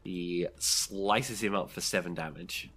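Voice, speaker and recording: Tabletop game master, low-pitched voice, vivid and dramatic in describing the action. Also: slow, a bit bright, slightly rough. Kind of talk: narrating a tabletop role-playing game.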